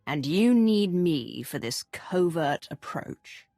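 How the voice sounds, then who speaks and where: An actress playing a cool, commanding Sith commander in a video game, direct and controlled. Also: female voice, sits low, big pitch swings, slow, vocal fry, mellow, no room sound, clean studio sound, faint music behind, heavily acted. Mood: probing.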